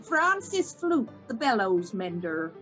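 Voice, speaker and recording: A woman playing an earnest organiser of amateur players in a Shakespearean radio play, officious and deliberate in her announcing. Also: on the high side, very animated, a bit bright, soft background music, full character voice, rough recording.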